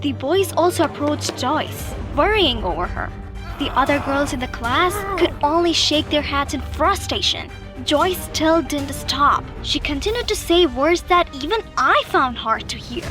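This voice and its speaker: A woman's voice: high-pitched, lively intonation, measured pace. A woman narrating a scripted first-person story in the role of its narrator. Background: background music.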